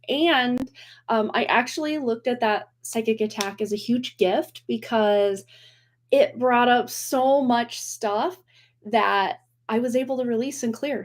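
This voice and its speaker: Chatty woman in her forties, rambling. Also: fairly high pitch. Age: 40s. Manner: chatty, rambling, laughs often, many restarts. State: recovering from lost voice, slightly worn. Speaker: tarot reader hosting a livestream. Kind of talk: casual livestream chat.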